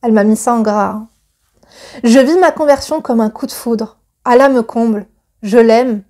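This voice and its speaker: Chatty woman with a mellow voice. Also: fairly high pitch, moderate pace. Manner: chatty, gushing, many fillers and restarts. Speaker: YouTuber reading and commenting on a viewer's letter. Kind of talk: casual chat and reading a letter aloud.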